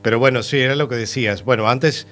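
A man's voice: mid-range voice, fast talker, fairly bright tone. Male radio host, easygoing and chatty, medium voice, faint music behind him. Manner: conversational, chimes in with short prompts. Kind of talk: casual interview chat.

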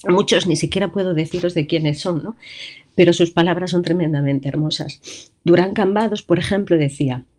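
A woman who is the voice-over of a radio show, speaking in a tribute with a broken, trembling, tearful voice, halting and restarting with small nervous laughs. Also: fairly low pitch, a little mellow. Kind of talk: emotional tribute on live radio.

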